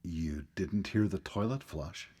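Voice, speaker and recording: A man's voice: low voice, slow, warm tone, slightly rough, exaggerated voice. A man playing a rude, sarcastic, irritable restaurant customer in a radio drama. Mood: sardonic. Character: rude, sarcastic male restaurant diner, easily annoyed.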